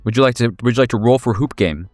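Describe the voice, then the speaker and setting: A man narrating a tabletop game as game master in a casual, halting, wryly playful way, often searching for words. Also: mid-range voice, a little mellow, no room sound, studio quality, faint music behind. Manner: wry, playful, slangy.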